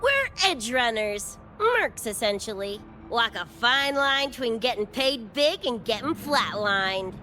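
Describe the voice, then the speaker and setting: A woman's voice: fairly high pitch, sing-song, measured pace, hardly any pauses, bright, forceful tone, slight background noise, heavily acted. A woman voicing a brash, cocky young mercenary with slangy, streetwise swagger in a video game. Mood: breezy, enthusiastic. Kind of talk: animated video game voice acting. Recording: music under the voice.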